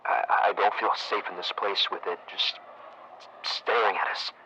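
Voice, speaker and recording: A jittery history professor murmurs into his tape recorder, stumbling over his words. His tone is bright and gravelly, with faint hiss underneath. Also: low-pitched, fairly even intonation, measured pace, heavily acted, poor audio. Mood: anxious. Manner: hesitant, stammering, hushed. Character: nervous 1960s history professor. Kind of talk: audio log narration.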